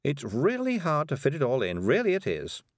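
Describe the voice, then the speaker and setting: A man's voice: a little high, wildly swooping pitch, normal speed, no echo, studio quality, voice pushed up. A man voicing a kindly, fretful, busy woman witch from a storybook, in a fussy, earnest manner.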